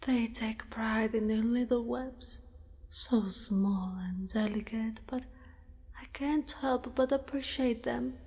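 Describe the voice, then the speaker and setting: Casting: woman as doting, predatory spider girl, higher-pitched, breathy ASMR whispers, sinister coaxing. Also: a little mellow. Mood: tender, wistful. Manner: slow, deliberate, sinister coaxing. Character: possessive yandere spider-monster woman, menacing yet doting predator. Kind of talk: ASMR roleplay, often whispered. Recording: long reverberant cave-like echo.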